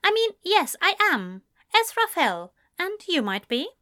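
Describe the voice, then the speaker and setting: A woman's voice: very high-pitched, big pitch swings, unhurried, pushed and bright, pitched above the performer's own voice, exaggerated voice. A woman narrator voicing a formal, courteous and flustered Englishman who is eager to please in a story. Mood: flustered, polite.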